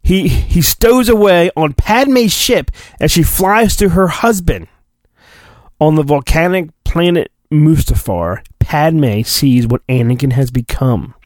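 A man's voice: on the high side, expressive, slow pace, a little mellow, dry recording. A man hosting a podcast, giving a hammy, irreverent storybook reading with joking asides. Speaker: podcast host.

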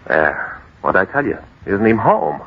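A man's voice: low-pitched, sing-song, normal speed, creaky, exaggerated voice. An actor playing an earnest, protective young man with urgent, emphatic delivery in a 1940s radio drama. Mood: take-charge. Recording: dull, noisy old radio recording.

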